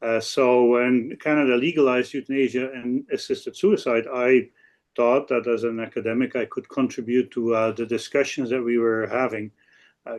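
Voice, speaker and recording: Older belgian guy, 60s, dutch accent in english, law prof on a webinar, hesitant stop-and-start delivery, normal pitch. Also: darkish tone. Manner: halting, with frequent hesitations and repeats. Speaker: law professor on a panel. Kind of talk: introducing own work at a webinar. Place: office.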